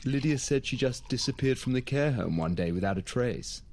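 Affable young man, animated intonation actor. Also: medium pitch, normal speed, a little hiss or hum, natural voice. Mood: curious, casual. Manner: casual, questioning. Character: affable, curious, tactless young boyfriend. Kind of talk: naturalistic audio drama acting.

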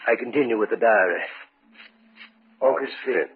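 A man playing a cautious, uneasy doctor, speaking in a deliberate, dramatic old-time radio style. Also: on the low side, husky. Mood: uneasy, suspenseful. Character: uneasy, cautious male doctor and research colleague. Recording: narrow vintage radio, faint music.

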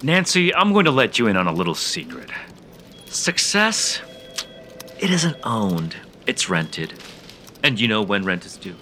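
Smug, deep-voiced male boss. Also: big pitch swings, measured pace, bright, forceful tone, a little hiss or hum, heavily acted. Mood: smug lecturing. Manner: condescending, faux-friendly, sloganeering. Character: smug, preachy male tech office boss. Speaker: actor. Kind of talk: audio drama acting.

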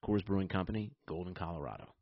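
A man's voice: low-pitched, animated, fast. A man reading a scripted beer ad in a laid-back way. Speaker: ad announcer. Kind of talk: scripted ad read. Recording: dry, slightly dull.

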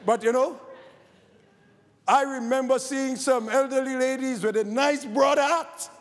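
A man from Barbados with a Barbadian accent, preaching a sermon, moving from quiet scripture reading to fervent exhortation. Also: very high voice, steady tone, measured pace. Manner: reads scripture quietly, then rises to fervent shouted preaching. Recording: long hall reverb.

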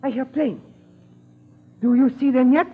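Exultant Japanese spy, very high swooping voice, put-on accent, clipped broken English, tinny old radio drama. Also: male voice, unhurried, husky, full character voice. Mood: excited. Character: gloating, fanatical Japanese spy, chauffeur dreaming of rank. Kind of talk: 1940s radio drama acting. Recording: muffled, tinny 1940s radio recording.

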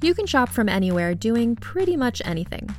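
A woman's voice: a little high, sing-song, brisk, a little mellow, soft background music. A woman announcer delivering a scripted advertisement read.

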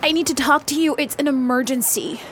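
A woman playing a fragile, pleading patient, earnest and hesitant, with a husky voice in an audio drama. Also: sits high, a little fast, a bit bright, a little hiss or hum. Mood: anxious, urgent. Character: anxious, pleading, fragile female therapy patient. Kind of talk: audio drama acting, naturalistic dialogue.

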